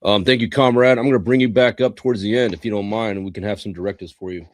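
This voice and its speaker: A man moderating a community council, measured and formal, with frequent ums. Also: on the low side, fairly even intonation, no room sound, studio quality. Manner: measured, formal, says um often. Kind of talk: moderating an online forum.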